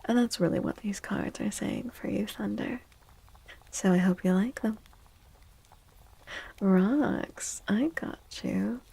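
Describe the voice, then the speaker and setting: A woman speaks in a soft ASMR style, unhurried and low in pitch, with a creaky edge to her hushed voice. She often drops to a near-whisper as she chats to her stream. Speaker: ASMR streamer, tarot reader. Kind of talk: soft-spoken ASMR live chat.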